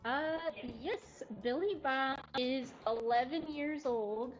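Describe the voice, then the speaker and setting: A woman's voice: high-pitched, slow. A giggly woman presenting casually as a livestream host at an indoor cat cafe. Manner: giggly, often chuckling. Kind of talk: casual live presentation and chat. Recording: slightly dull livestream audio, faint music. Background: faint music.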